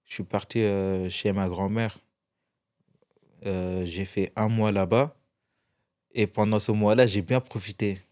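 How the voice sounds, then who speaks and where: Young man telling a story haltingly. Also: fairly low pitch. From France. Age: young adult. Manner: relaxed, halting storytelling with many restarts. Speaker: guest. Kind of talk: casual storytelling interview.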